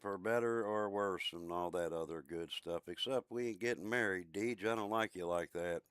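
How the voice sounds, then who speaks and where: A man with a low voice chatting casually with viewers while streaming a game, in a laid-back, talkative way. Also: normal speed, fairly bright tone, clean tone, dry recording, clean studio sound. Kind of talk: casual live-stream chat.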